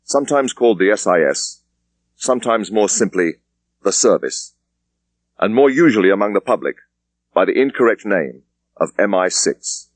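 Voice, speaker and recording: A man with an English accent reading a thriller audiobook in a measured, precise way. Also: on the low side, expressive, no room sound. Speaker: audiobook narrator. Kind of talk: scripted thriller narration.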